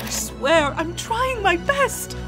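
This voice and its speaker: A woman's voice: very high-pitched, very animated, slow pace, over loud music, heavily acted. A woman voicing a proud but desperate young prince, emotional and pleading, in an intense comic dub. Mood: anguished, accusing.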